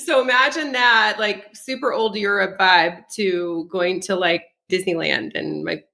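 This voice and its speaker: A woman's voice: medium pitch, a little slow, creaky, sharp tone. A woman with an American accent and French as her first language, chatting casually as an interview guest. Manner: chatty, laughs often while talking. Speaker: podcast guest, psychic.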